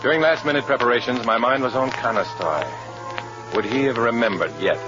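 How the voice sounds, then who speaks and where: Undercover schemer, male actor, low-key and smooth-talking, vintage radio drama with muffled sound. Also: a little fast, close to an everyday voice. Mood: businesslike. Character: cool, scheming male undercover agent posing as communist organizer. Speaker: lead actor and first-person narrator. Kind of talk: 1950s radio drama acting and narration. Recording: dull old radio recording, loud music behind.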